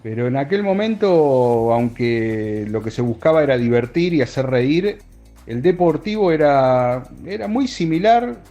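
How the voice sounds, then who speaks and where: A man speaks reflectively in an interview. His voice is medium-pitched and relaxed, his phrasing halting. Music runs faintly behind him. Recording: music under the voice.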